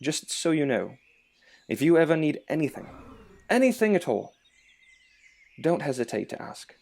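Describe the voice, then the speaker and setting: A man's voice: a little high, sing-song, moderate pace, vocal fry, darkish tone, full character voice. A man playing a polite, earnest captain of the royal guard in an amateur fairytale audio drama. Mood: gracious. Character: courteous, eager young royal guard captain.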